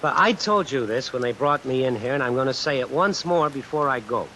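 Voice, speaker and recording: A man's voice: mid-range voice, lively intonation, brisk, pushed and bright, rough recording. A man playing a terse, defiant young riveter and brawler in a 1950s radio drama. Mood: defiant, aggrieved. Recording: hissy old radio recording under rain sounds.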